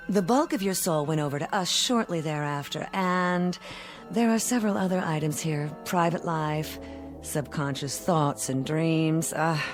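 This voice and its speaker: An actress playing a suave devil posing as a travel agent in 1960s television drama, speaking with poised, formal precision and cool teasing. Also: female voice, low voice. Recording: old TV soundtrack with background music.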